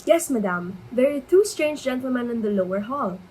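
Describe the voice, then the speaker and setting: A woman's voice: fairly high pitch, animated, moderate pace, darkish tone, slight background noise, natural voice, rough recording. A woman playing a deferential, formal household servant in a dramatic reading of a stage comedy, polite and dutiful.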